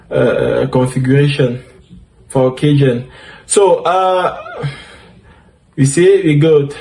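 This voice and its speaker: A man's voice: a little high, darkish tone. A man with a French accent, French his first language, walking through a tech tutorial step by step and often correcting himself. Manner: talks through each step, many fillers and self-corrections. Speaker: DevOps instructor. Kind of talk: screen-share tutorial walkthrough.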